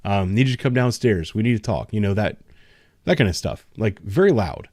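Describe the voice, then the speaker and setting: A man with a low voice talks loosely and frankly, a patrol officer chatting on a casual livestream. He hedges with ums and restarts his sentences. The sound is dry and close. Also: expressive, fairly quick, darkish tone, no echo. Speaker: patrol officer, show host.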